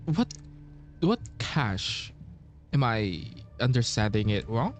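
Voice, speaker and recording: Slow-paced, animated male game streamer. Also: mid-range voice, faint music behind. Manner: halting, muttering to himself. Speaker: gameplay streamer. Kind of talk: casual playthrough reaction commentary.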